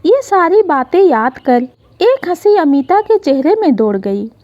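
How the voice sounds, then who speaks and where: A woman narrating a scripted emotional story in an expressive, dramatic reading style. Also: very high voice, a little slow, dry recording, dull audio.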